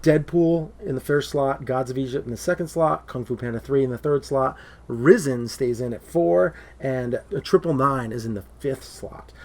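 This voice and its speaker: A man's voice: mid-range voice, moderate pace. A man co-hosting a casual movie talk show, laughing often and filling with "um", whose voice is starting to go. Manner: laughs often, lots of fillers. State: losing his voice. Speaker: podcast co-host.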